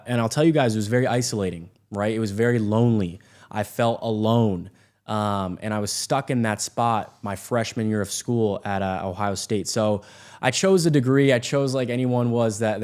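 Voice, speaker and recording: A young American man speaks off the cuff about himself to an audience, with a mid-pitched voice. He is earnest and emphatic, often repeating a phrase for weight and checking in with listeners as he goes. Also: a little mellow. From Vermont, United States. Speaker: sales entrepreneur introducing himself. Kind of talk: unscripted personal story told to an audience.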